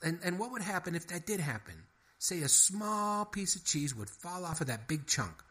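A man's voice: sits high, sing-song, moderate pace, bright, forceful tone, pitched above the performer's own voice, heavily acted. A man voicing a scheming male mouse who pesters with stammering, wheedling questions. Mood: pushy. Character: fast-talking scheming male mouse, pushy.

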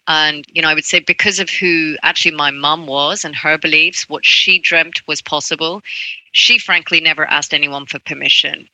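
Casting: earnest woman from Hong Kong, dry close sound, bright edge. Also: deep voice, no room sound, low-quality recording. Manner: earnest, frequent "right?" and "look". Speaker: diversity and inclusion advocate. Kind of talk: panel interview answer.

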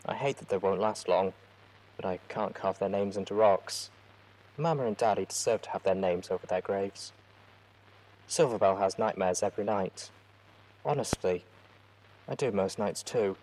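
A man voicing a worried young mare writing to a friend, read in a soft, hushed voice. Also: on the low side, normal speed, pauses often, close to an everyday voice, poor audio. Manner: soft, hushed letter reading.

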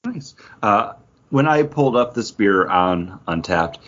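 A man's voice: lowish voice, lively intonation, measured pace, a bit bright, highs cut off. A man hosting a podcast, talking casually about comics and beer in a conversational way. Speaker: podcast host.

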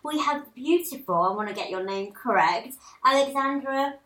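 A woman's voice: sits high, animated, normal speed, a bit bright. Chatty woman, self-mocking, roomy. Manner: chatty, self-deprecating, giggly. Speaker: podcast host. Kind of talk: casual podcast chat. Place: small flat.

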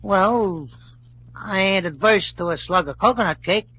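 A man's voice: high-pitched, unhurried, sharp tone, husky, muffled recording, heavily acted. An actor playing a cocky, hungry American gunman who talks in wisecracking tough-guy slang, in old-time radio style. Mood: smug, relishing.

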